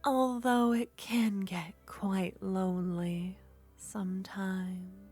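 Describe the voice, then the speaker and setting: Sweet clingy witch played by a woman, soft and whispery, super slow and drawn out, little bit of music behind. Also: mid-range voice. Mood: amused. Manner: soft-spoken, slipping into whispers, coaxing and flattering. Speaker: ASMR roleplay performer. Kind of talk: ASMR roleplay.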